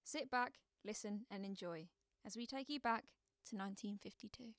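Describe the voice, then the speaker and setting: A woman's voice: mid-range voice, moderate pace, no echo, clean studio sound. A woman giving a scripted spoken introduction as the announcer of an audio drama. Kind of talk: scripted narration.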